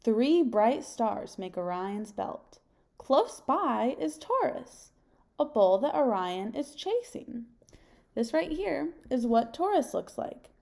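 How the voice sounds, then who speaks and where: A young adult woman reading a picture book aloud like a teacher, pausing and putting questions to her young listeners. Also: fairly high pitch, very animated, creaky, darkish tone. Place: home kitchen, indoors.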